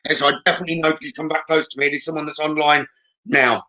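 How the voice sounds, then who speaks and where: A man hosting a live psychic reading stream, talking informally to viewers and thinking aloud in a halting way as he reads comments. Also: fairly high pitch, flat delivery, pushed and bright.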